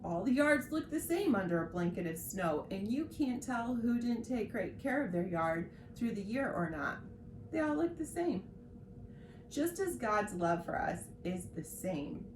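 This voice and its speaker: A woman hosting a devotional read-along who reads scripture and reflections aloud in a gentle, earnest way, with light informal asides. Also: mid-range voice. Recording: roomy echo with faint background noise.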